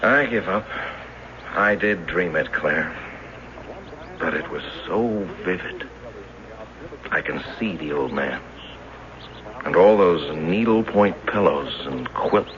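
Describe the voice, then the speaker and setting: An actor with an American accent playing an earnest, stubborn husband in a radio drama, with an insistent, wistful manner. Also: male voice, low-pitched, lively intonation, takes it slowly, long pauses, a crowd in the background. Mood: resigned, wistful. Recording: muffled, noisy 1970s radio recording.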